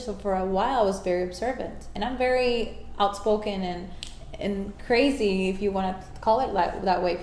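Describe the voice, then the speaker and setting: A woman with a Spanish first language speaks earnestly and emphatically about personal growth, in a voice of middling pitch. The room around her is echoey, with faint noise behind her. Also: a little mellow. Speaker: interview guest. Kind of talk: reflective interview talk on personal growth.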